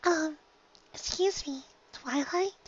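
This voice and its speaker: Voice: high voice, slow pace, dry recording, lo-fi sound, voice pushed up. A woman voicing a shy, apologetic young female pegasus pony in a cartoon fan story, hesitant and meek. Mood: timid, hesitant.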